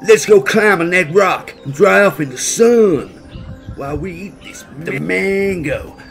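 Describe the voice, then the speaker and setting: A man's voice: high-pitched, unhurried, vocal fry, faint music behind, pitched above the performer's own voice. A man voicing a big, jolly, theatrical bear from a storybook, exuberant and grandiloquent. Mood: amused, gushing.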